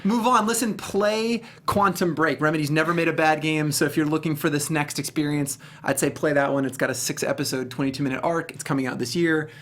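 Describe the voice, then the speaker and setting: A man with a slightly raised pitch speaks as co-host of a panel show. His delivery is casual and opinionated, with slangy, emphatic phrasing. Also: fairly even intonation, fairly quick, hardly any pauses, a bit bright.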